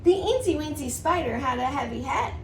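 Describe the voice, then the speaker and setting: Female preschool teacher, read-aloud storyteller: high, slightly creaky, sing-song, recorded at a distance in an echoey space. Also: brisk. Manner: sing-song, expressive, rhythmic reading. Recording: distant mic, roomy echo, faint noise.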